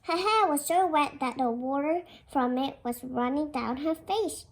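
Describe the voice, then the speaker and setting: A child reading a fairy tale aloud in a careful, expressive storytelling manner, as a storybook narrator. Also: high-pitched, a little slow, slight background noise. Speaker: storybook reader.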